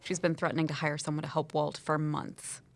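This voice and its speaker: A woman's voice: sits low, level tone, fairly quick, creaky. An actress playing a breezy, friendly woman office colleague in a scripted audio drama, casual and playfully joking.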